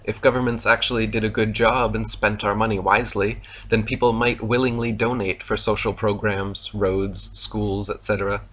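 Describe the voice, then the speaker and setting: An American man narrating a scripted voice-over in a steady, emphatic, polemical delivery. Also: lowish voice, normal speed, few pauses. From United States.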